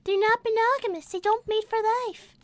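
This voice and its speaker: A woman's voice: very high-pitched, fairly even intonation, a little fast. A woman voicing a prim, prissy young female rabbit girl who talks in formal, old-fashioned phrases, performed live as improvised character roleplay. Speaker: character voice actor on a live stream.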